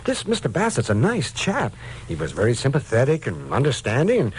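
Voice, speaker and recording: An actor playing a devoted, naive, anxious husband in a vintage radio drama, with halting, emotional delivery. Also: male voice, medium pitch, wildly swooping pitch, a little mellow, slightly rough, heavily acted. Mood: tender, reassuring. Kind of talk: 1940s-50s radio detective drama acting. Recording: noisy vintage radio recording.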